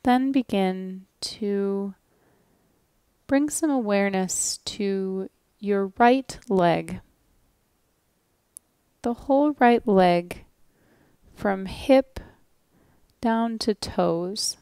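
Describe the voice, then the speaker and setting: A woman guides a relaxation practice at a very slow, unhurried pace. Her voice is smooth and clear at a medium pitch, with gentle, soothing instructions and long pauses. The sound is close and dry. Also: no room sound. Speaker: yoga nidra instructor.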